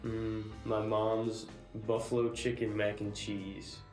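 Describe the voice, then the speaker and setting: A man's voice: on the low side, little pitch movement, slow, a little mellow. A young man from the United States, a missionary, answering interview questions in an easygoing way with lots of "um" and "like". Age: young adult. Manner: easygoing, fillers like um and like. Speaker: missionary guest. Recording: echoey room with music behind.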